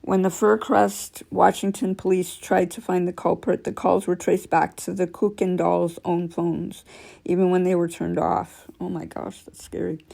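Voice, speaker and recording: A woman's voice: on the low side, flat delivery, a little slow, creaky, warm tone. A woman with a scratchy voice reading creepy true stories aloud, stumbling now and then and correcting herself, with casual remarks between stories.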